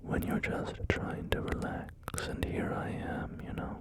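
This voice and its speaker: A man whispering through a relaxed ASMR gaming video, rambling and joking about himself with many fillers. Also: measured pace. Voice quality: soft unvoiced whisper. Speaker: ASMR creator.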